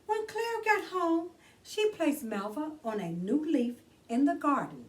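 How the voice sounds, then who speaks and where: A woman's voice: high-pitched, very animated, unhurried, recorded from a distance. A woman hosting a children's storytime, reading a storybook aloud expressively and addressing young listeners directly in a theatrical way.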